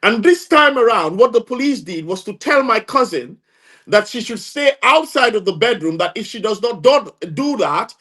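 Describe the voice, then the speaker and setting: A man's voice: high-pitched, sharp tone. A man with a Gambian accent speaking as an interview guest, emphatic and insistent, repeating phrases for stress. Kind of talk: impassioned interview testimony.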